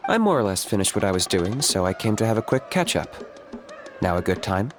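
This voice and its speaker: A man's voice: on the low side, very animated, fairly quick, over loud music. An actor playing an aloof young male hunter who speaks in short, dry remarks. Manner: terse, dry.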